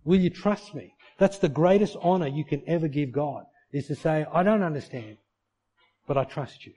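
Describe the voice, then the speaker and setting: Middle-aged male pastor, warm mellow tone, slightly higher voice, earnest sermon delivery with rhetorical questions. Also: normal speed, slightly rough, highs cut off. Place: church.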